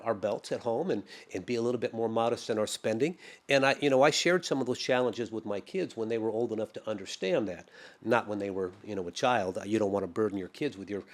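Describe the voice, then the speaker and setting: A middle-aged man talking earnestly and reflectively as a podcast guest, often restarting his sentences. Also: medium pitch. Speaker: podcast guest, private lender. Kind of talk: conversational interview.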